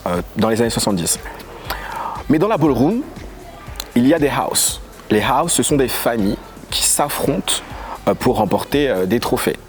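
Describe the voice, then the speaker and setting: A young man from Paris, a dance guest in a casual interview who talks in a lively, playful way with quick asides. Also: mid-range voice. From Paris, of Congolese origin. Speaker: guest, voguing dancer and house leader. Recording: faint music underneath.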